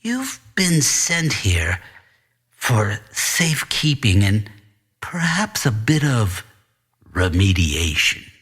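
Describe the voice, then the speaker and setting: A man voicing a sinister, evasive male principal in a noir audiobook, measured and condescending. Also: on the low side, huge pitch swings, a bit bright, slightly rough, full character voice. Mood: coolly menacing.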